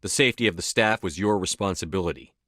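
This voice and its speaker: A commanding military hero from a sci-fi video game. His voice is low and recorded dry and close. He speaks in blunt, clipped phrases with stern authority and steady resolve. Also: quick pace, no room sound. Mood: accusing, resolute. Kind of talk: video game dialogue acting.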